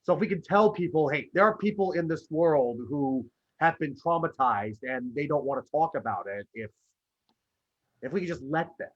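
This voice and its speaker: Earnest higher-voiced man, dull audio. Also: lively intonation, moderate pace. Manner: earnest, conversational. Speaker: actor. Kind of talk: earnest personal panel discussion. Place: virtual video call.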